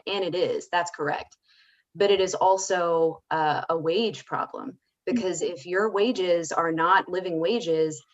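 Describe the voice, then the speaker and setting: A woman speaking off the cuff as an activist panelist, explaining her points emphatically and checking for agreement. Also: on the low side. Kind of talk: unscripted advocacy talk on a panel. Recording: slightly dull, lo-fi audio.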